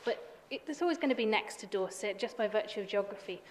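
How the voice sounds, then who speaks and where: A woman councillor making a measured, argumentative case in a council debate, with a few restarts. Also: mid-range voice, animated, slight background noise. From England. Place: council chamber. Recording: chamber with long reverb.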